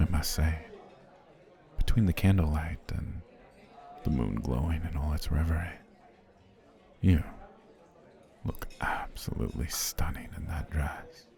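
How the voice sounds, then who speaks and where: A very deep, dark-toned potion seller, voiced by a man, speaks slowly and formally, heaping flattery on his dinner date. His gravelly voice often sinks into close, soft whispers, in the intimate manner of an ASMR roleplay. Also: full character voice. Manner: formal, courtly, flattering speech. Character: smooth-talking male potion seller, formal and obsessive.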